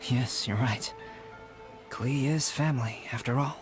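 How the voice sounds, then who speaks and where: A courteous young scholar acted by a man with a medium-pitched voice. He speaks formally and calmly as a game character, with faint music behind him. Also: lightly acted. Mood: grateful, gentle. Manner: formal, measured wording. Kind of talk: video game character acting.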